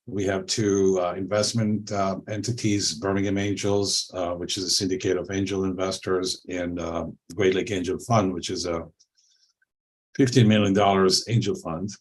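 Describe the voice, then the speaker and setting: A man from Israel speaking as a panel guest over a video call, relaxed and conversational with many fillers. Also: low-pitched, moderate pace. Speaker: panel guest, angel investor.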